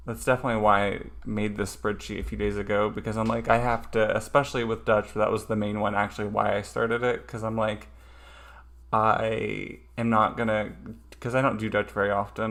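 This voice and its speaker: A man's voice: fairly low pitch, gravelly, a bit bright. A man streaming a casual study session, thinking aloud hesitantly with lots of ums.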